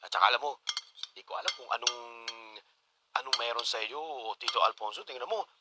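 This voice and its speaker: A man with a Filipino accent playing a playful, teasing and excitable grown son in a radio drama. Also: medium pitch, brisk, heavy background noise, lightly acted. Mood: chatty, teasing. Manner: animated, teasing.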